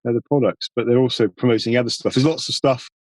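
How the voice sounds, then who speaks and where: A man's voice: medium pitch, steady tone, fast, a little mellow, no echo. Man chatting casually, close dry recording. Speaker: podcast guest, environmental campaigner. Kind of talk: casual conversational interview.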